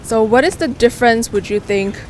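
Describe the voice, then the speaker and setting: A woman hosting a street interview outdoors, asking clear, upbeat questions. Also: fairly high pitch, a little fast, slight background noise.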